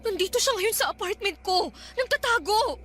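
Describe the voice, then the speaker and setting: A young Filipino woman being stalked, her voice very high and sharp with fear and defiance. It is radio drama acting over a music bed. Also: lively intonation, normal speed. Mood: tense, alarmed.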